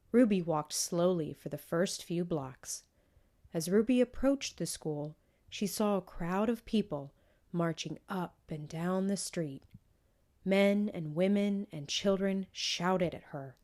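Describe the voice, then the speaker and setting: A woman reading a children's book aloud in measured, clearly phrased storytelling narration. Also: on the low side, mellow. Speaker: storybook reader.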